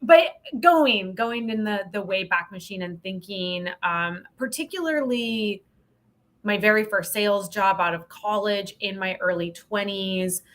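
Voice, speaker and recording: American female podcast guest: bright, forceful delivery, candid and playful, emphatic on key points, mid-range pitch. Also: expressive, unhurried. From Montana, United States. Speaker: podcast guest, sales professional. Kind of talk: casual interview chat.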